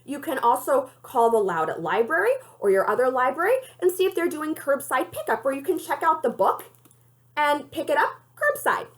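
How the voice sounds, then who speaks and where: A woman reads a children's novel aloud as a librarian would for young listeners. Her voice is on the high side and very animated in pitch. The delivery is expressive storytelling narration. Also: moderate pace.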